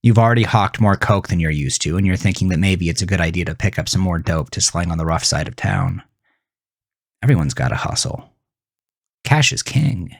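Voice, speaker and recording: A man reading his own horror story as a dramatic, suspenseful second-person narration with drawn-out emphasis. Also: sits low, expressive, creaky, no room sound, clean studio sound. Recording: music behind the voice. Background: music.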